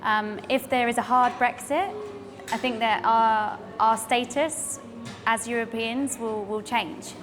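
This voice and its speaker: A young Englishwoman with an English accent, an English teacher answering interview questions with soft "um" fillers. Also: fairly high pitch, fairly even intonation, slow, music in the background. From England.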